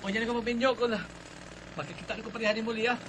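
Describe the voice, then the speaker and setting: An actor playing a young, teasing, sly bachelor cousin in a radio drama, with a Cebuano Filipino accent. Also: male voice, extremely high pitch, fairly even intonation, very fast, a bit bright, a little hiss or hum. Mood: playful.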